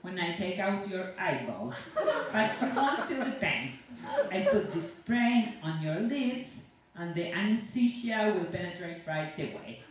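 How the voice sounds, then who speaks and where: A woman's voice: fairly low pitch, sing-song, measured pace. A woman reading a story aloud as a chatty, self-satisfied, sinister dentist who rambles on with cheerful reassurance to a patient. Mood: breezy, fussing. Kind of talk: dramatic monologue read aloud to an audience. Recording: echoey room from far off, faint noise.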